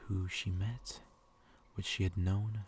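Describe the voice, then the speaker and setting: A man reading a story aloud in a soft ASMR style, hushed and intimate, often slipping into whispers. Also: deep voice, flat delivery, slow. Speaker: ASMR reader. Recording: faint background noise.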